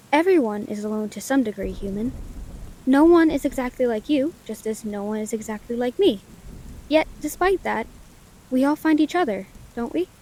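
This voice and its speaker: A woman's voice: high-pitched, poor audio. A woman playing a friendly, curious, caring lightning elemental in a scripted audio roleplay, speaking earnestly in quaint, formal words. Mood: reflective, tender. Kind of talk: scripted audio roleplay, gentle comforting monologue. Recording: rain behind the voice.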